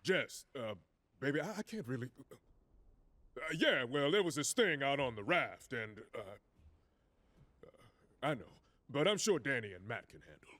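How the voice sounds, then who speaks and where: This is a sheepish hero, who stammers and hesitates. His bright, mid-pitched voice swings widely. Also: very animated, moderate pace, pauses often. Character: sheepish husband hero on the phone. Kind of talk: video game voice acting.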